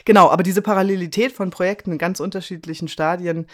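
A woman's voice: fairly low pitch, steady tone, quick pace. A woman speaking casually as an interview guest, chatting about her work as a director. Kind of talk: casual chat.

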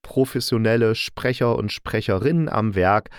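A man's voice: lowish voice, normal speed, clear, resonant tone, dry recording, clean studio sound. A man hosting an informal review podcast, chatty and correcting himself as he goes. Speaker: podcast host and audio drama reviewer.

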